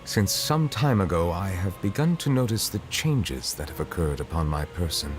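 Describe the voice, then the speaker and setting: A solemn chief judge for a video game. His voice is deep, his delivery formal and restrained. Music plays clearly behind his measured words. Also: sing-song. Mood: reflective.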